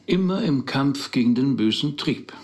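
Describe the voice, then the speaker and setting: An actor playing a rabbi in a radio play, measured and questioning, with dry humour and gentle skepticism. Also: male voice, mid-range voice, expressive, close to an everyday voice. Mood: dryly skeptical.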